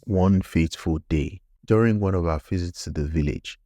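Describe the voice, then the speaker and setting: Very deep, mellow male storyteller. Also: normal speed, no echo, clean studio sound. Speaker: narrator. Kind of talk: scripted folktale narration.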